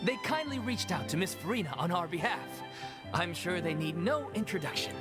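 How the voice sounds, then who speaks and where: A woman's voice: fairly low pitch, sing-song, normal speed. A woman playing a polite, earnest organiser of a theatre troupe in a video game, explaining things courteously. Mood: formal. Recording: clear music behind the voice.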